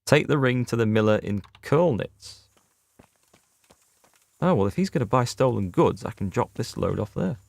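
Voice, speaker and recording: A man's voice: mid-range voice, animated, moderate pace, vocal fry, long pauses, darkish tone, no echo. A man giving casual commentary on a game he is streaming, chatting with viewers as he plays. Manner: chatty, reads out and answers viewer chat. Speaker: gaming streamer. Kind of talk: casual let's play commentary.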